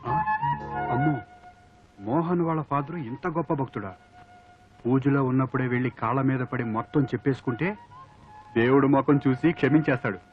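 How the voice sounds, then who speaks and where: A man's voice: mid-range voice, brisk, long pauses, close to an everyday voice. An actor playing an impatient, brusque young man in a Telugu comedy film. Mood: awed. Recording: dull film soundtrack, faint music.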